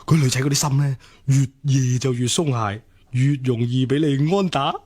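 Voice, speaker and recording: A man's voice: mid-range voice, moderate pace, a little mellow, natural voice. An actor with a Hong Kong Cantonese accent playing a cocky, flippant young student womanizer in radio drama dialogue, teasing and dispensing mock wisdom. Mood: sly, teasing.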